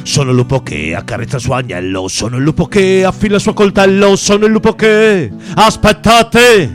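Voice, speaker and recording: A man performs a repetitive, incantatory ballad as a singer-guitarist, drawing out the ends of his refrains. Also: sits high. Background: acoustic guitar.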